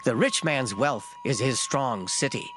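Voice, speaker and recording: A man reads scripture as a dramatic performance, solemn and declamatory. His intonation rises and falls expressively, and plucked string music plays clearly behind him. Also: mid-range voice, animated, a little slow. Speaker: scripture reader. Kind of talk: dramatized Bible reading.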